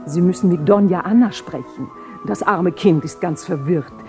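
An actress playing a flirtatious, excitable noblewoman, the bride's mother, in a theatrical 1970 German radio play. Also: lowish voice, normal speed, soft background music, lightly acted. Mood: confiding. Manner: theatrical, coquettish.

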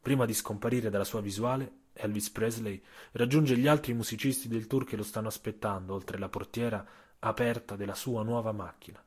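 A man narrating a scripted audio story in a theatrical way, with emphatic phrases, deliberate pauses and incantatory repetition. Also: on the low side, steady tone, a little mellow, slightly rough. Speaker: author and narrator.

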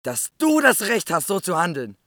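A man's voice: high-pitched, huge pitch swings, moderate pace, bright, forceful tone, no room sound, studio quality, heavily acted. A man acting a skeptical, indignant male leader in a scripture audio drama, challenging and incredulous.

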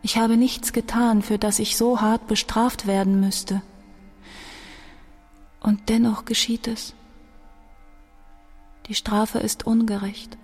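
A woman's voice: a little high, flat delivery, slow pace, long pauses, a little mellow, breathy edge, faint music behind. An actress playing a dying young woman musician who speaks in a grave, introspective, bitter way, in a radio drama monologue. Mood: anguished, bitter.

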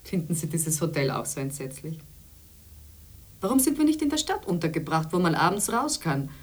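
A chatty, sociable conference colleague with a notably low voice. She talks in a wry, teasing way and likes to complain, in radio play dialogue. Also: very animated, moderate pace. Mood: wry complaint.

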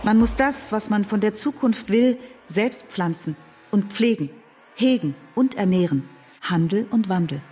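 Careful, genteel moderate. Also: female voice, medium pitch, animated, unhurried, breathy edge. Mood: cautioning. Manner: careful, admonishing. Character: cautious moderate woman, pleading and genteel. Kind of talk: radio drama dialogue. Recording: studio radio play with loud music.

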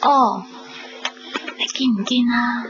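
A woman playing a timid, anxious, gentle young daughter in a Hong Kong Cantonese radio drama, soft-spoken. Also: on the high side, slow, background noise. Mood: nervous excitement. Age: young adult. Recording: dull 1990s radio recording, music behind.

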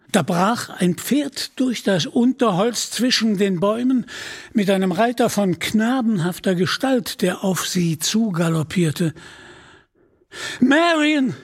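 A male narrator reading a dramatic story from a script. His voice is high for a man and husky, and he takes his time with the telling. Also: expressive, measured pace.

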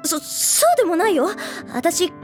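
A woman playing a shy but blunt, prickly high school girl in an anime-like Japanese audio drama. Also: big pitch swings, unhurried, faint music behind, heavily acted. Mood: bashful. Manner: blunt, bashful, tsundere.

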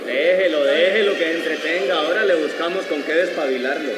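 A man's voice: fairly high pitch, brisk, very noisy, natural voice. A man with a Colombian accent playing a crude, domineering army colonel in a radio drama, bossy and coarsely familiar. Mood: cynical, familiar. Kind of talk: live radio drama reading. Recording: echoey room with loud music.

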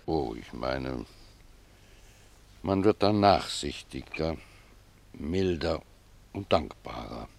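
A man's voice: a bass voice, lively intonation, gravelly, heavily acted. A man playing a humble, pious old gardener in a German radio drama, speaking reflectively and with deference to his superior. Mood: pensive, devout. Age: older. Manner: reflective, deferential, unhurried phrasing.

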